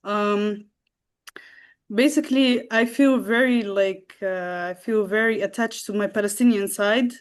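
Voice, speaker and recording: A young woman from the Netherlands, a guest telling a personal story in a halting way, with many fillers and restarts. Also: medium pitch, smooth. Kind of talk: casual storytelling.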